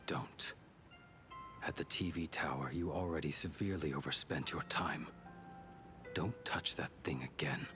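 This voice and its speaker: A man's voice: extremely low pitch, a little slow, long pauses, music in the background. An actor voicing a stoic, resolute man in a video game, speaking in terse, restrained phrases with blunt resignation. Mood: resolute, grave. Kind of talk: scripted video game voice acting.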